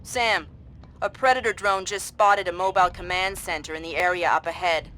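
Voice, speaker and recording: An actress playing a matter-of-fact female intelligence officer briskly briefing an agent in a video game. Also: on the low side, fairly even intonation, a bit bright, slight background noise, natural voice. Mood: focused.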